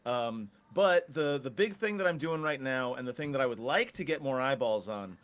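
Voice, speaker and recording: Casual mid-pitched male tabletop player. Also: a bit bright. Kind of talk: casual chat.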